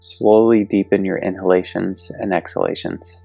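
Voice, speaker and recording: Male meditation guide: gentle, deliberate delivery with a creaky low edge, recorded dry. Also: steady tone, no echo. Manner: soothing, gentle, deliberate with long pauses. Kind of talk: guided yoga nidra meditation. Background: soft meditation music.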